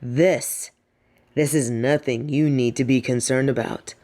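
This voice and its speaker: A woman's voice: a bass voice, steady tone, measured pace, warm tone. A young woman acting in a scripted audio roleplay as a defiant, fed-up adult daughter of a snobby rich family, with a sardonic, emphatic delivery. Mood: angry, defiant.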